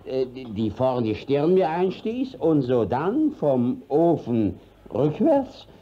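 An actor playing a shifty, blustering village judge in a radio play, hesitating and stalling evasively. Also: male voice, mid-range voice, very animated, slow pace. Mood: flustered, evasive. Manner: hesitant, evasive, stammering with fillers. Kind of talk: classic German radio play acting. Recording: dull old 1950s radio recording.

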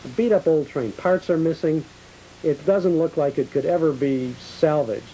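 A male host with a fairly high, mellow voice addresses guests and viewers in a local TV style. The recording is an old cable tape with a light hiss underneath. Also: moderate pace, poor audio. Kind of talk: conversational local TV interview and ad reading.

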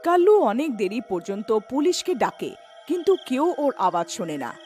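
A woman's voice: fairly high pitch, very animated, normal speed, darkish tone, faint music behind. A woman narrating a scripted cartoon story as its narrator.

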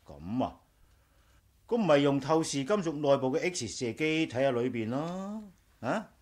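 A man's voice: a little high, very animated, unhurried, darkish tone. A man with a Hong Kong Cantonese accent playing an easygoing, boastful engineer friend in a 1980s radio drama. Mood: breezy, boastful.

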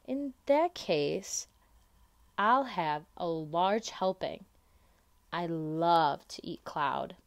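A fussy, stubborn little girl from a picture book, as a woman reader plays her. Her pitch swings about in an animated way and her words come out slowly and emphatically. The sound is close and dry. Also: creaky, no room sound, heavily acted. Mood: warming to it.

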